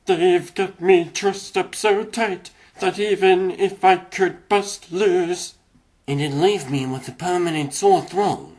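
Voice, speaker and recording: A man's voice: high voice, slow pace, darkish tone. Man voicing a small, earnest young mouse hero. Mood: worried. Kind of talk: comic dub voice acting.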